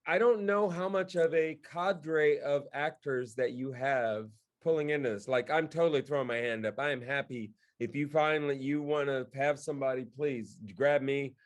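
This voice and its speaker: A man's voice: medium pitch, fairly even intonation, normal speed, hardly any pauses. A man co-hosting a podcast, chatting casually and effusively with enthusiasm. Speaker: podcast co-host, actor. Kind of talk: casual chat.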